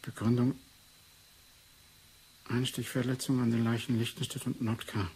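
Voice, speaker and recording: A man's voice: mid-range voice, flat delivery, normal speed, a little mellow, breathy edge, poor audio. An actor playing a testy, reluctant male researcher and doctor in a 1980s radio drama, muttering as he goes. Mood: reading, muttering. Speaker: researcher character in a radio play.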